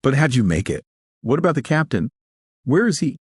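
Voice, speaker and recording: A man's voice: medium pitch, very animated, brisk, breathy edge, dry recording, studio quality, pitched above the performer's own voice. A man voicing a bewildered, frustrated space station worker in an audio story, questioning and exasperated. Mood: urgent, astonished.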